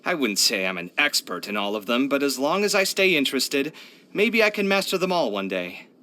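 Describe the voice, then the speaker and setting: A man's voice: mid-range voice, brisk. A man voicing a proud, earnest young architect for a video game, chatty and candid in his delivery. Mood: enthusiastic. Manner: chatty, candid, expressive, sighing. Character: young male architect, proud, earnest, expressive, hard-luck. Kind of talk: video game character voice lines.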